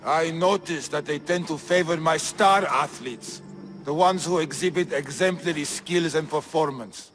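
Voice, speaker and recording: A proud, troubled master of an athletic school in a video game. The voice is pitched a bit high. Faint music sits underneath. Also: male voice, a little slow, fairly bright tone. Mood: worried. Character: proud, beaten, worried male gymnasium master. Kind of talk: video game cutscene acting.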